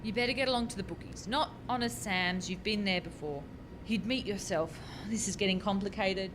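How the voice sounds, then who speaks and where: A woman's voice: fairly quick, close to an everyday voice. A woman playing an anxious, bossy scientist in a radio farce, exclaiming and cautioning in exasperation. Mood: exasperated. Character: anxious, bossy female scientist juggling time-travel experiments. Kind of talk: radio play farce acting. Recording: clear background noise with low rumble.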